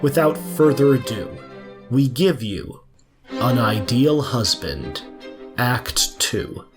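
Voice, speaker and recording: A man's voice: medium pitch, lively intonation, slow. A man reading a scripted programme introduction as announcer. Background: violin music.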